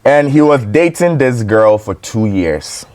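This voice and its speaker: A man's voice: medium pitch, lively intonation, a little slow, darkish tone, no echo. Male podcast host, Ghanaian accent, emphatic and conversational, recorded dry with little room sound.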